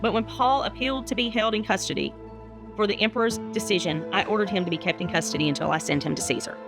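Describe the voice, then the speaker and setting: A woman from the United States, a minister, who reads scripture and chats. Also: mid-range voice. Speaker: guest minister and Bible reader. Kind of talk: Bible reading and casual chat.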